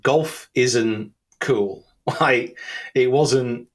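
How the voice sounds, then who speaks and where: A man's voice: mid-range voice, very slow. A man in his thirties with an English accent, chatting casually and self-deprecatingly as an interview guest. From England. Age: 30s. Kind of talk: casual conversational chat.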